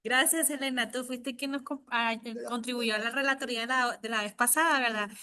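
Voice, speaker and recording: A woman moderating an online workshop over a video call, in a friendly and relaxed way. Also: fairly high pitch, brisk, dry recording, studio quality.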